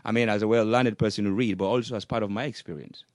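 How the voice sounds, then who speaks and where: A man on a radio talk show with a low-pitched voice, pressing his point in earnest. He keeps looping back to restate himself as he talks. The sound is dry and close. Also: steady tone, fast talker, a little mellow, no room sound. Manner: earnest, argumentative, repeats "what I'm saying is".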